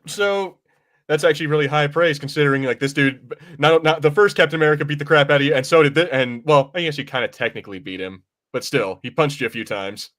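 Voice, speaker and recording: A man's voice: on the high side, fast talker, fairly bright tone. A man hosting a podcast in casual chat, talking in an informal, rambling, self-correcting way. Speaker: podcast host.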